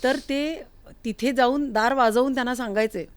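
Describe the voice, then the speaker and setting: A woman's voice: high voice, moderate pace, smooth, no echo. A woman hosting a conversational interview, asking questions and telling anecdotes. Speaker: interview host. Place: recording studio.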